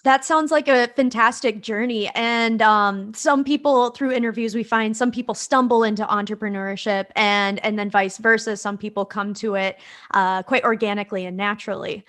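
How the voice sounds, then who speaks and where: A woman's voice: medium pitch, steady tone, normal speed, a bit bright. A woman co-hosting a podcast, asking a guest questions in a friendly, upbeat way. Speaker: podcast co-host. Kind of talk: podcast intro and interview questions.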